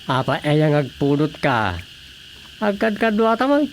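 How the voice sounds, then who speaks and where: A man's voice: fairly high pitch, very animated, a little slow, slight background noise. An actor playing a nosy, teasing man in a radio comedy drama, with an Ilocano accent and a sly, questioning delivery. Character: nosy, teasing man needling a village councilor.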